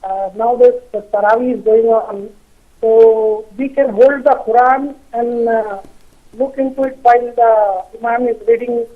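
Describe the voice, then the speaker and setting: A man's voice: very high-pitched, steady tone, a little slow. A man from India calling a live show, asking a question hesitantly and searching for his words. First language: not Arabic. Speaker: caller. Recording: tinny phone line.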